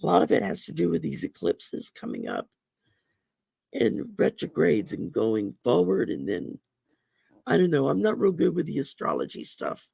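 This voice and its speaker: A woman with a gravelly voice from damaged vocal cords. She hosts a casual livestream, chatting and reading oracle cards in a folksy way with many ums. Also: sits low, wildly swooping pitch, normal speed, mellow, dry recording. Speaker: host and oracle card reader. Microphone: computer mic on a livestream.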